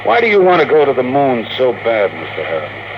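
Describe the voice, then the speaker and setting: Down-on-his-luck rocket captain, blunt, wary, vintage radio acting, dull sound, clear background noise. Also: medium pitch, wildly swooping pitch, fast talker. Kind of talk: 1950s radio drama acting. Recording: muffled, noisy 1950s radio recording.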